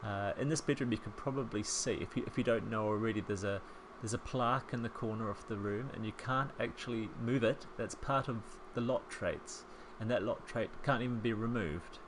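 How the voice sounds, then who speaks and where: A man in his thirties with a soft New Zealand accent, chatting casually as a voice-over while he builds a home in a life-sim game, rambling and self-deprecating. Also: lowish voice, brisk, few pauses, background noise. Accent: soft New Zealand, softened by years in England. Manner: chatty, rambling, self-deprecating, with frequent ums and small laughs. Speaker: Sims speed-build creator.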